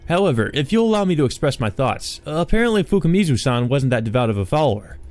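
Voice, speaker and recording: A man's voice: medium pitch, very animated, fast, a little mellow, faint music behind, voice pushed up, lightly acted. A man voicing an anxious, guarded male artist who is hesitant, evasive and formally polite, in a visual novel.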